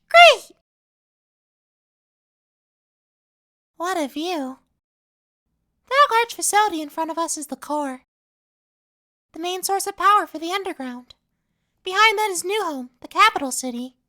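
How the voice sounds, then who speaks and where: Woman voicing a very high-pitched, earnest bird. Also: bright, forceful tone, full character voice. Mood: excited. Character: kind, earnest, excitable female bird, protective friend. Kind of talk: dramatic game fan dub.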